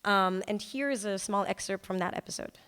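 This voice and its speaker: A woman's voice: medium pitch, a little fast, fairly bright tone, smooth. A woman giving a talk as a presenter, conversational and informal, with casual asides to her listeners. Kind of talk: conference talk presenting a storytelling project. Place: indoor presentation hall. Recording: echoey hall with long reverb.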